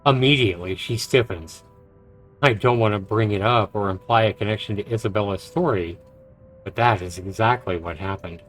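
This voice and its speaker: A man's voice: lowish voice, normal speed, fairly bright tone, poor audio. A man who streams games, reading visual novel narration aloud and reacting to it. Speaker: game streamer. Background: game music.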